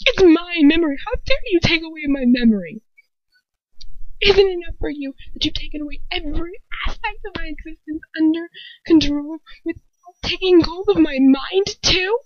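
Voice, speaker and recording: A woman voicing a furious, defiant young woman who yells in high, strained outbursts. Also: lively intonation, unhurried, husky, no room sound, lo-fi sound.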